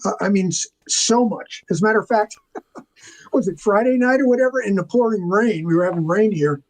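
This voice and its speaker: A man's voice: sits high, brisk, poor audio. An older man talking casually as an interview guest, telling stories enthusiastically and wandering into asides. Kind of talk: casual chat, storytelling.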